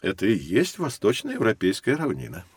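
An actor playing a friendly professor in an educational audio drama, explaining things expressively to children. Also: male voice, on the low side, wildly swooping pitch, normal speed, slightly rough, no room sound, studio quality, heavily acted. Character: friendly male professor guiding children through geography.

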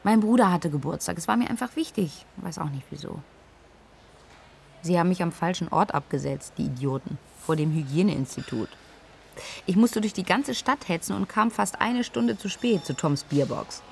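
An actress playing a young student in a radio play, recalling a drunken night of grief in a candid, dry, conversational way. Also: female voice, on the low side, animated, moderate pace, vocal fry, darkish tone, slight background noise. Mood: wry, candid. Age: young adult. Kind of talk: interview-like monologue in a radio play.

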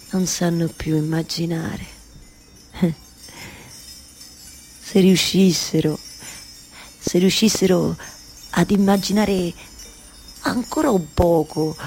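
A woman's voice: medium pitch, darkish tone, a little hiss or hum. A woman with a Roman Italian accent playing a weary, yearning wife who weaves stories, in an incantatory theatrical monologue. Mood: sorrowful wonder. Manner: incantatory repetition, shifting from murmur to insistence. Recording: live music behind the voice.

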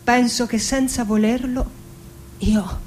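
An actress playing a melancholic middle-aged poetess in an intimate, dramatic radio monologue, phrasing each thought deliberately. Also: female voice, fairly high pitch, steady tone, noisy background. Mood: pensive, sorrowful. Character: melancholic middle-aged poetess recalling her wounded girlhood. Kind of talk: poetic theatrical radio monologue.

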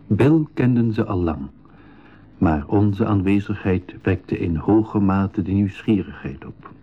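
A man acting as the narrator of an expedition adventure, telling it in a measured, formal radio drama style. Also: fairly low pitch, narrow, tinny audio. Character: male narrator recounting his expedition adventure.

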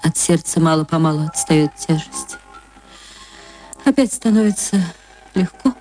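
A woman with a soft, mellow and slightly low voice narrates literary prose. She reads like a stage actress, tenderly and with feeling, over faint music. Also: expressive, unhurried, rough recording. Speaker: actress reading a short story.

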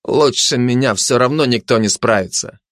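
A menacing, arrogant street dog acted by a male storyteller, sneering and pompous. The delivery is drawn out and theatrical, with lively pitch movement in a dry animal-story recording. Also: no room sound. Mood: haughty commanding. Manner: haughty, sneering, drawn-out threats and pompous declarations. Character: haughty menacing fascist dog, mocking gang tough.

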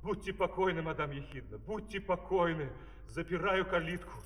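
Timid, high-voiced menagerie keeper. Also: male voice, lively intonation, moderate pace, a bit bright. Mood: meek, obliging. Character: downtrodden, timid male menagerie keeper, mournful and servile. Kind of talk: theatrical opera-fairy-tale acting and singing. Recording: echoey hall, old vinyl record.

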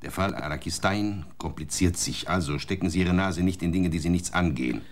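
An actor playing a stern police inspector in a radio drama, talking in curt, commanding questions and warnings. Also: male voice, low voice, a little fast, lightly acted. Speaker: police interrogator.

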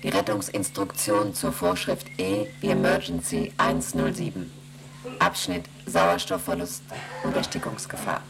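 A deep, gravelly ship computer. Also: male voice, a little slow, over a noisy crowd, poor audio. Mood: flat reporting. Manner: flat, mechanical, reports numbers and rules. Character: emotionless onboard computer, male, reciting readings. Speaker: ship's computer. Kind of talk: radio play acting.

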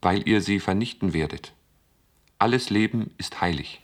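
A man in a German radio play voicing a sentient computer, childlike, stubborn and principled, speaking in terse, measured statements. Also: low voice. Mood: defiant.

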